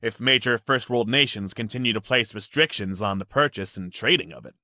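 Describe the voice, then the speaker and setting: An earnest man puts formal, inquiring questions, acted for a visual novel. His tone is bright and crisp-edged with animated pitch, recorded very dry. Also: brisk, no room sound. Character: earnest male audience questioner.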